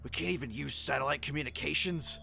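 Gravelly, terse soldier played by a man. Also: fairly high pitch, fast talker, sharp tone, faint music behind, heavily acted. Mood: concerned. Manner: terse, gruff. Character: brusque, irritable soldier among retreating troops. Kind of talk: sci-fi war audio drama acting.

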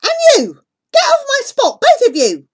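A woman voicing a loud, territorial bully boy in a storybook reading, yelling his lines. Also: very high-pitched, huge pitch swings, normal speed, voice pushed up, heavily acted. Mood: angry yelling. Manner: shouting, aggressive.

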